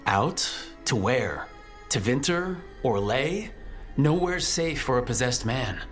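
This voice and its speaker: A young video game hero, steady and wry, who talks directly and plainly. The voice has a medium pitch. Music is clearly audible behind him. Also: male voice, slow. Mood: firm. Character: steady, wry young male adventurer leader, reassuring.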